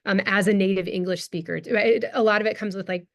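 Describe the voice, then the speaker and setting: American academic woman, 30s; thoughtful Q&A answers, halting, frequent ums. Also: mid-range voice, steady tone. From United States. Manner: hesitant, many fillers and restarts, laughs often. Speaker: guest speaker, anthropologist. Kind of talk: lecture Q&A answer.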